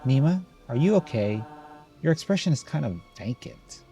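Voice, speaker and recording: A man's voice: mid-range voice, big pitch swings, normal speed, warm tone, faint music behind, voice pushed up. A man voicing a worried, earnest mother in a horror game, coaxing and questioning. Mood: concerned.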